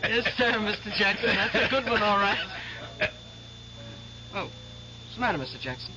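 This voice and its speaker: An actress playing a friendly, chatty cocktail lounge waitress in a 1970s radio drama, brisk and breezy. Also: female voice, medium pitch, expressive, sharp tone, slight background noise. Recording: dull lo-fi radio broadcast with music.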